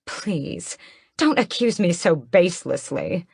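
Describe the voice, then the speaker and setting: A woman's voice: fairly low pitch, sing-song, slow pace, creaky, husky, no echo, clean studio sound, heavily acted. A woman voicing a haughty, ageless vampire queen who speaks in cold, mocking commands. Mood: scornful.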